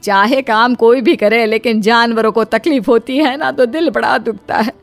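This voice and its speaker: A cautious, homely elderly mother-in-law from a cartoon moral tale, acted out by a woman. She is fond of her family and speaks in a fairly high voice. Light music plays behind her. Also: moderate pace, vocal fry, dry recording. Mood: relieved, sympathetic. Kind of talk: cartoon moral story dialogue.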